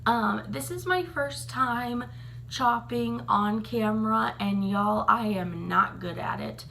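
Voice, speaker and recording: A woman, chatting casually to camera in a slightly raspy morning voice, full of ums and likes. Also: a little high, slow, fairly bright tone, a little hiss or hum. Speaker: vlogger.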